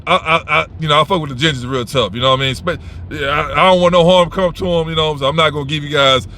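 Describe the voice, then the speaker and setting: A man's voice: on the high side, fairly even intonation, quick pace, pushed and bright, slight background noise. A man giving advice in a casual, unscripted monologue, blunt and rambling, swearing freely and restarting his sentences.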